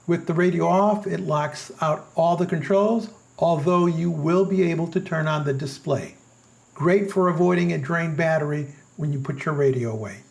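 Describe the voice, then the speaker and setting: High-pitched, creaky-voiced man narrating a review. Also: moderate pace, darkish tone. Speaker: product reviewer.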